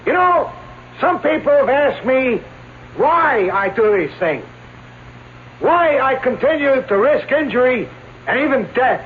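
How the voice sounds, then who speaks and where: A thin old radio recording with faint noise carries a showy escape artist. He speaks in a very high, declamatory voice, florid and proud, playing to his audience. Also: narrow, tinny audio. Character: theatrical male escape artist, proud, florid stage showman. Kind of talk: radio drama acting.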